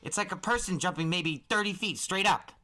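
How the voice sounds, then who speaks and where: A man voicing an earnest, excitable teenage boy in an audiobook, gushing with emphatic enthusiasm. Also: sits high, brisk, faint music behind, voice pushed up. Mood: excited. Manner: breathless, emphatic, boyish gushing.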